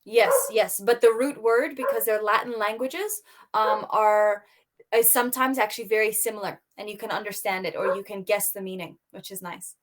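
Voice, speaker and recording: A woman's voice: mid-range voice, steady tone, normal speed. A woman tutoring English over a video call, encouraging and upbeat, often laughing. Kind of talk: online tutoring conversation.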